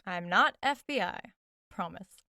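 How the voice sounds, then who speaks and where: A woman's voice: fairly low pitch, lively intonation, unhurried, vocal fry, sharp tone, no echo, heavily acted. Skeptical, curious young woman, dry sound. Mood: curious, coaxing. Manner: casual, incredulous, dryly probing. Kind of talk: audio drama dialogue.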